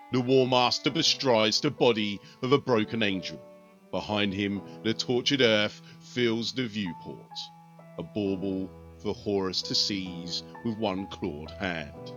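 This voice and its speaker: A man reading a dark sci-fi war story as an audiobook, grave and measured. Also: lowish voice, lo-fi sound. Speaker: narrator. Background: faint music.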